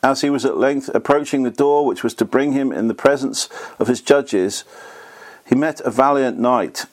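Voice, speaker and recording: A man reads a history book aloud at a steady, measured pace. His voice is slightly hoarse and sits at an ordinary middle pitch. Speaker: reader.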